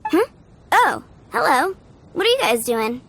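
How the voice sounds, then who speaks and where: A woman's voice: high voice, sing-song, measured pace, fairly bright tone, heavily acted. A woman voicing an eager, starry-eyed young girl in a video game, earnest and perky.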